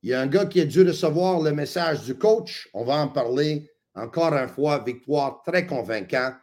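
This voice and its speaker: A man with a Quebec French accent hosting a sports podcast, lively and joking, with quick asides. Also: a little high, no room sound. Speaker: podcast host. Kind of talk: casual sports talk.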